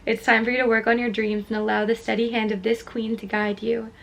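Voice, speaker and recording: A woman's voice: on the high side, little pitch movement, a little fast, creaky. A young woman with a stuffy, sniffly nose, talking candidly and reflectively to her camera in a casual vlog. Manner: candid, self-questioning, trailing off. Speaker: vlogger.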